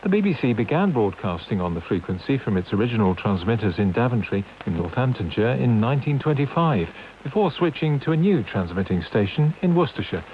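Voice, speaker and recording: A man's voice: medium pitch, big pitch swings, fairly quick, few pauses. A man reading scripted news as a radio newsreader over a long-wave broadcast. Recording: dull long-wave radio with faint hiss.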